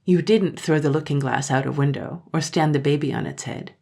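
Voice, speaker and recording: A woman's voice: deep voice, fairly quick, gravelly, a little mellow. A woman voicing an odd, teasing village girl who talks in a cool, sly, riddling way, in a storybook reading.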